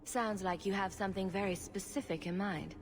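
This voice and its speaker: A woman's voice: mid-range voice, steady tone, brisk, big-room sound, faint music behind, natural voice. An actress playing a sarcastic, dry-witted female rogue hero in a video game. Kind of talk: video game cutscene acting.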